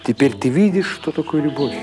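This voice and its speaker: A man voicing a big, coarse, tearful Odessa wife who laments bitterly and loudly in a dramatised short story. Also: a little high, expressive, brisk, voice pushed up, close to an everyday voice. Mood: scolding. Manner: loud, inconsolable lamenting. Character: loud, bitter, weeping Odessa wife, big middle-aged woman. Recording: music behind the voice.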